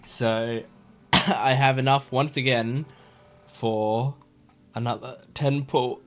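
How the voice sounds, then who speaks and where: A man giving casual, chatty and excitable commentary as he streams a video game. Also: medium pitch, takes it slowly.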